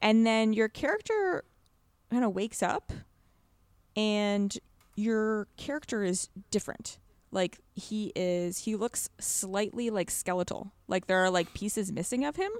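Young woman, podcast guest. Lively, expressive intonation, conversational and wandering, dry close sound. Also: medium pitch, unhurried, clear, resonant tone, no echo. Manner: animated, rambling, frequent "like". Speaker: podcast guest discussing a video game. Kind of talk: casual chat.

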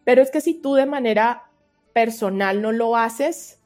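A woman with a Colombian accent, speaking as a podcast guest and researcher, giving advice in an earnest, expressive and casual conversational way. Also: on the high side, a little slow, soft background music.

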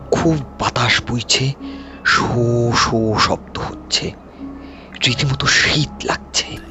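Scared jittery young man telling a nightmare, slow and dramatic, music loud behind. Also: medium pitch. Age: young adult. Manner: anxious, halting, dramatic delivery. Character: frightened, restless young man recounting his terrifying nightmare. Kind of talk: dramatised audio story storytelling. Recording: slightly muffled, loud background music. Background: prominent music.

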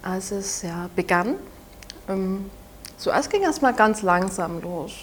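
A woman in her twenties from Saxony with a Saxon German accent, speaking haltingly and softly as an interview guest, often restarting her sentences. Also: mid-range voice, animated, a little hiss or hum. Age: 20s. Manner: halting, soft-spoken, with frequent restarts. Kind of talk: personal testimony in an interview.

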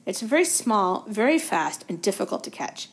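A woman's voice: medium pitch, sing-song, normal speed, gravelly. A woman voicing a tired teenage boy wizard who explains things in a weary, resigned way.

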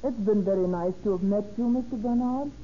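An actress playing a coy, gushing, calculating gold-digger in a 1930s radio drama. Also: female voice, medium pitch, brisk, lightly acted. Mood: sweet, agreeable. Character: scheming, sweet-talking gold-digging married woman. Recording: muffled, noisy old radio recording.

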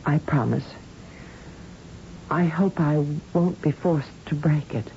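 An actress playing an anxious, loyal scientist accomplice with a hesitant, halting manner in an old-time radio drama. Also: female voice, low-pitched, mellow. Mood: doubtful, pleading. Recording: muffled old radio drama, faint hiss.

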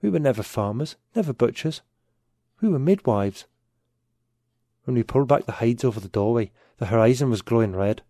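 A man's voice: medium pitch, lively intonation, normal speed, pauses often, dark tone, no room sound, studio quality. A man reading a piece of literary fiction aloud as a scripted narration. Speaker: story narrator.

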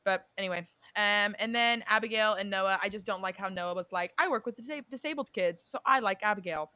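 Chatty young female co-host with a bright tone and a dry close recording; swears and rambles. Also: mid-range voice, fairly quick, smooth, no room sound. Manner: chatty, swears freely, drifts off and self-corrects. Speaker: podcast co-host. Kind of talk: casual chat about a reality show and astrology.

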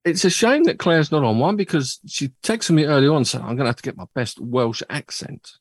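A man hosting a relaxed chat show, his husky voice bouncing up and down in lively swings. He rambles and pokes fun at himself. The sound is close and dry. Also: on the high side, brisk, no echo. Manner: chatty, rambling, self-mocking. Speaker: podcast host. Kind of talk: casual chat. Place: home studio.

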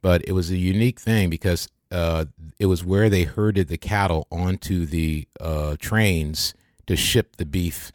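Casting: older American male podcast host, deep register, dry recording, thoughtful and halting religious talk to a small group. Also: no room sound. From American Midwest.

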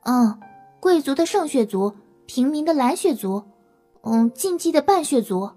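A woman's voice: high-pitched, animated, unhurried, dark tone, faint music behind. A woman voicing a meek but blunt young human in an audio drama, hesitant and understated. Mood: curious. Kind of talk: Chinese audio drama acting.

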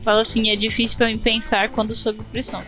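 A woman's voice: on the high side, little pitch movement, fast, a bit bright, soft background music. A woman, a chatty player in a tabletop role-playing game. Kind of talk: casual play at a tabletop game.

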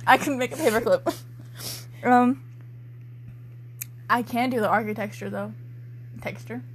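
A woman's voice: a little high, steady tone, unhurried, slight background noise. A teenage girl giving a casual comedic dramatic reading, giggly and irreverent, often breaking off to chat. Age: teenager, about sixteen. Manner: giggly, irreverent, swearing, interrupts own reading. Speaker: podcast co-host reading fan fiction aloud.

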